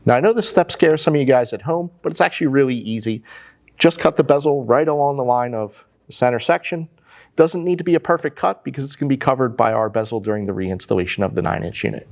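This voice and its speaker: A man's voice: medium pitch, fast, vocal fry, darkish tone. An American man in his forties giving a casual, plain-spoken step-by-step tutorial as an installation instructor.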